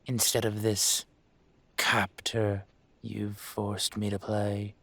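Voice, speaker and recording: A man playing a suave, menacing dragon mafia boss in an audio roleplay, measured and condescending with a teasing edge. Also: fairly low pitch, flat delivery, gravelly, sharp tone, dry recording, heavily acted. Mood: coaxing, controlling. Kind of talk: scripted audio roleplay, intimate.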